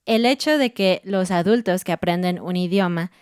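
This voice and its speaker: A woman co-hosting a podcast, explaining language learning in a clear, steady way. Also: on the low side, moderate pace, no echo, studio quality. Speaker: podcast co-host. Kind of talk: educational podcast chat.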